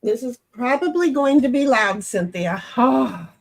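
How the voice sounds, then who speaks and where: Chatty woman with a high, pitchy voice. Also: animated, unhurried, vocal fry, low-quality recording. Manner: chatty, rambling, self-described high pitchy voice. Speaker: streamer playing online cards with friends. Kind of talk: casual chat while gaming. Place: hot room at home.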